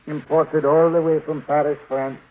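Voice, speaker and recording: An actor playing a fussy, indignant storekeeper with an exacting, fretful manner in an old radio western. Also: male voice, on the high side, little pitch movement, normal speed, phone-quality sound, close to an everyday voice. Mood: fretful, puzzled. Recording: thin, noisy old radio recording.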